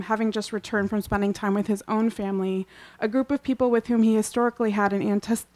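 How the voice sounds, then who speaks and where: Woman reading her essay aloud, wryly. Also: medium pitch. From Kansas, USA. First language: Urdu. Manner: reads wryly with offhand asides and frequent throat clearing. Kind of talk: reading aloud a personal essay at a book launch. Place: live literary event.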